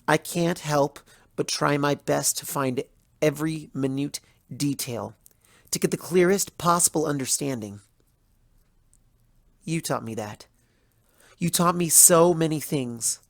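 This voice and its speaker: A narrator voicing a betrayed, bitter young female pony student in a measured, dramatic first-person story monologue. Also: mid-range voice, creaky, no room sound. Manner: measured, dramatic, wounded, accusatory delivery.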